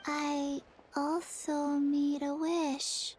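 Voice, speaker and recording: A woman voicing a small, solemn, earnest girl in a video game, with a halting, deliberate delivery. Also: high voice, little pitch movement, darkish tone, slight background noise. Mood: earnest, subdued. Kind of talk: video game character dialogue.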